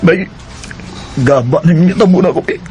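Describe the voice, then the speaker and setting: An actor with a Cebuano Filipino accent playing a fickle, smooth-talking boyfriend in an emotive, exclamatory radio drama style. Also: male voice, fairly high pitch, moderate pace, natural voice. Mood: distressed. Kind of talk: Philippine radio drama acting. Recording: music bed behind the voice.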